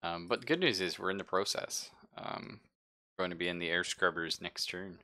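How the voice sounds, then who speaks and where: A man's voice: low voice, a little fast, pushed and bright. A man running a tabletop roleplaying game as game master, narrating casually with a jokey, sweary manner.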